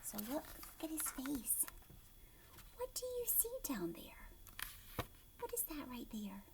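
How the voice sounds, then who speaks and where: A woman with a fairly high voice reads aloud to young children as their teacher. Her pitch moves around a great deal and her pace is very slow. She is expressive, asks the listener questions, and often softens into a hush. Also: creaky, in a whisper.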